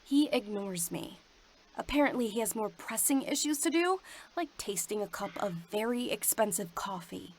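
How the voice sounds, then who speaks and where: An actress playing a stern, weary team boss in a visual novel game. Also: female voice, mid-range voice, expressive, moderate pace, a little hiss or hum, natural voice. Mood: sardonic.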